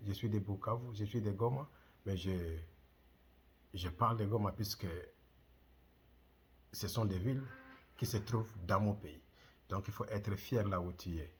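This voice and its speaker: A middle-aged Congolese man with a deep, heavily husky voice and a Congolese French accent. He addresses his viewers as a channel host, driving his points home with impassioned, repeated rhetorical questions. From Democratic Republic of the Congo. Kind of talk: direct-to-camera commentary.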